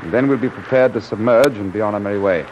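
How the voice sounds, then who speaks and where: Casting: man to play chatty British naval officer, lowish voice, clipped and hearty; noisy vintage radio sound. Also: quick pace, lightly acted. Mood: frank, confiding. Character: genial British Royal Navy submarine commander, frank and chatty. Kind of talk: old-time radio drama acting. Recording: muffled, noisy old radio recording.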